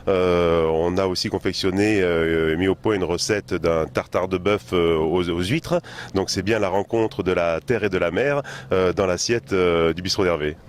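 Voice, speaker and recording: A man from France, a chef, answering interview questions in a hesitant way, often pausing and repeating words. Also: low voice, a little hiss or hum. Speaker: chef and bistro owner.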